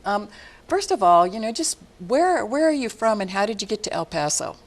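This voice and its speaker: A woman hosting a studio TV interview, who often backs up her guest with soft murmurs of agreement. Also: mid-range voice, very animated, moderate pace. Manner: warm, frequent affirming murmurs. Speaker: TV talk show host.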